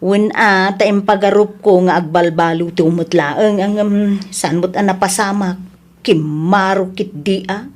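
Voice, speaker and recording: A woman with an Ilocano accent playing a blunt, animated, gossiping family friend in an audio drama. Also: mid-range voice, a little slow, natural voice. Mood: gossipy, reluctant.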